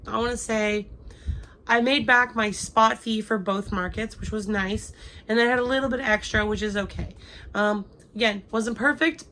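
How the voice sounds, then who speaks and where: A woman's voice: medium pitch, fairly bright tone, a little hiss or hum, low-quality recording. A young woman with a cold, chatting casually to her viewers with lots of ums and restarts. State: has a cold. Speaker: crochet vlogger. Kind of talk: casual chat to camera.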